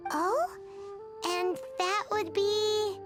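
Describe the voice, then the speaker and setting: A woman voicing a tiny, perky, childlike fairy companion in a video game, squeaky, naive and blunt. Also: steady tone, very slow, full character voice. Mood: curious. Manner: naive, cute, exclamatory. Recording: game audio under loud music.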